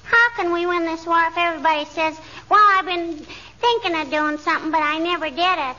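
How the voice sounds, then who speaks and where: High, chirpy little girl. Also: fairly even intonation, brisk, sharp tone. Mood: earnest, preachy. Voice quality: childlike voice. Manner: earnest, insistent, literal-minded. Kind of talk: 1940s radio comedy acting. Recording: dull vintage 1940s radio recording.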